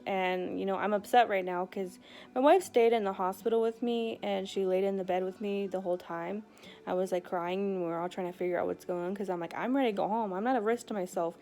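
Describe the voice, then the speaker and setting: A woman's voice: mid-range voice, a little mellow. A woman talking candidly and casually in a rambling personal vlog, retelling events and conversations as she goes. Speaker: vlogger.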